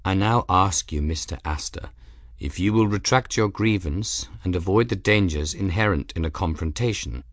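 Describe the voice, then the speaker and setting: A man's voice: sits low, dry recording, natural voice. A man acts out a dignified feline warrior for an audiobook. He speaks formally and with ceremony, like an official. The sound is dry and the delivery grave. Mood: solemn formality. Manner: measured, ceremonious. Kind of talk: audiobook character voice.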